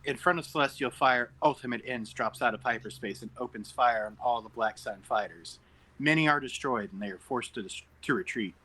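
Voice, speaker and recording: Guy narrating stage directions at a table read, husky, mid pitch, on a group call. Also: fairly quick, bright, forceful tone, poor audio. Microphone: group online call.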